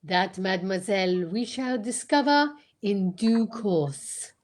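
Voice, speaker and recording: A woman playing a pompous, courteous male detective, formal and deliberate, in old-time radio mystery style. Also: medium pitch, expressive. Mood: reassuring, self-assured. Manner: formal, deliberate, theatrical questioning.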